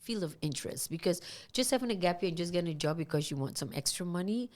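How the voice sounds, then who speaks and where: A woman hosting a casual radio talk show, giving gentle advice with many fillers. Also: fairly low pitch, expressive, fast. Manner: encouraging, advising, with fillers.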